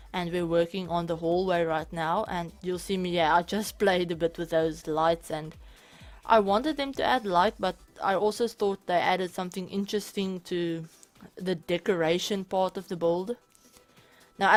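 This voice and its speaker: Hoarse woman chatting over soft music. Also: on the low side. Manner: rambling, self-correcting, chatty. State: hoarse from illness. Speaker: channel host. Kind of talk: casual game build voiceover. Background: soft background music.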